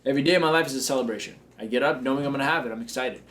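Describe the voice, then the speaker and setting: A man's voice: mid-range voice, racing, fairly bright tone. A man hosting a coaching show, talking in a confident, upbeat and joking way. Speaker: business coach and show host. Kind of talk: motivational coaching talk.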